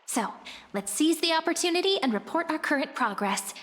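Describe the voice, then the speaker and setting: Game companion: young woman adventurer, dutiful but playful, high-pitched, cheerful, heard in a reverberant hall. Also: expressive, a little fast, fairly bright tone. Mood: cautious, purposeful. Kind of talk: video game voice acting.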